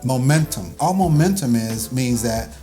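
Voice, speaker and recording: A middle-aged man speaks at an easy middle pitch, talking through leadership ideas as if chatting with his daughter. He teases lightly and chuckles between points. Faint music sits under the voice. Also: animated, measured pace, mellow. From Birmingham, Alabama. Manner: teasing, laughs often. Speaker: father and video host. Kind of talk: casual teaching chat.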